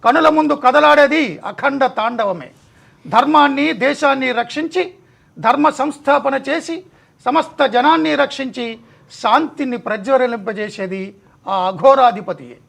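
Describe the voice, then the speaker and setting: A man's voice: very high voice, normal speed, creaky, fairly bright tone. A male TV host delivering a dramatic scripted monologue in short, weighty rhetorical phrases. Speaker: TV show host.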